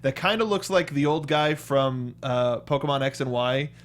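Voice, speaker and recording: A man's voice: mid-range voice, normal speed. A man co-hosting a casual film review chat, acting out reactions in quoted asides. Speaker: co-host of a film review show.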